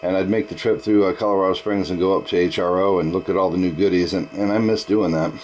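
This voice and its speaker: Deep-voiced man chatting on ham radio. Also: steady tone, quick pace, background noise. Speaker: amateur radio operator. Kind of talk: casual ham radio chat.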